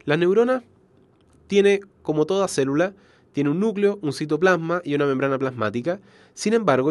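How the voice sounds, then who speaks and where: Guy teaching an online bio class, bit high pitched, stuffed up nose from a cold, very dry sound, keeps rephrasing. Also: a little mellow, no echo. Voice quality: nasal, congested. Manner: explanatory, frequent self-corrections and check-in tags. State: has a cold. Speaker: biology teacher. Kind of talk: live online lecture.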